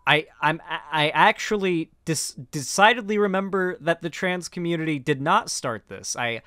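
Higher-pitched young American man, streaming commentary. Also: normal speed, sharp tone, no echo, clean studio sound. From central West Florida, American South. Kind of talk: casual opinionated commentary to a livestream audience. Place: small home streaming room, indoors. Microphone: close microphone on boom arm, headphones on.

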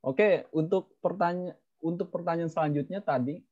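A man's voice: on the high side, moderate pace. A young man casually moderating a student webinar on a video call, chatty and teasing. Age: young adult. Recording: dull lo-fi call audio.

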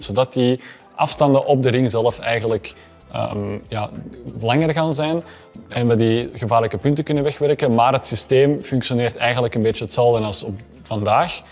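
A man's voice: mid-range voice, a little mellow. Flemish man moderating a discussion. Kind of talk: explanatory panel discussion. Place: indoor café-style room, at a table.

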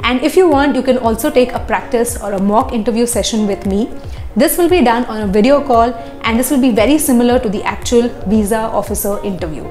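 A young woman with a fairly high voice explains interview tips in a clear, instructive way. She speaks into a lapel mic in an echoey office with glass walls. Faint music plays underneath. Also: fairly quick, a little mellow. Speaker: visa interview coach. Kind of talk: advice video to camera.

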